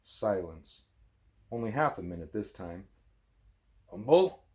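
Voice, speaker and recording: A man, a parent, reading a book aloud expressively as a bedtime story, with a wry, deadpan manner. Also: fairly low pitch, a little slow.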